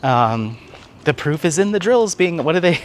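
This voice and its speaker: A man's voice: fairly high pitch, big pitch swings, moderate pace, a little hiss or hum. A man chatting casually and conversationally in a rambling, upbeat walk-and-talk vlog update, recorded outdoors. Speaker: craft vlogger and content creator.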